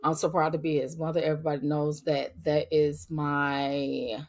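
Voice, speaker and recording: Podcast host, middle-aged woman, low voice, raspy from a cold, earnest and emphatic, casual faith talk. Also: flat delivery, moderate pace, darkish tone. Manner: earnest, emphatic, talks straight to listeners.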